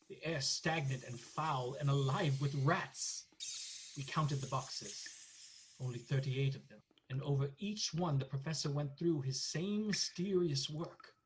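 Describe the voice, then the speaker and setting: An actor playing an earnest, anxious husband in a radio play, with a clipped, dramatic delivery. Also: male voice, a little high, a little hiss or hum, lightly acted, rough recording.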